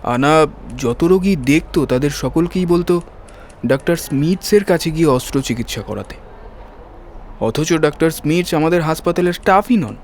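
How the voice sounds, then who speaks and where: Young surgeon, slightly high voice, polite earnest informant, faint ambience, detective radio play. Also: male voice, animated, moderate pace, a little mellow, a little hiss or hum. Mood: earnest, confiding. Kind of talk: dramatised detective audio story acting.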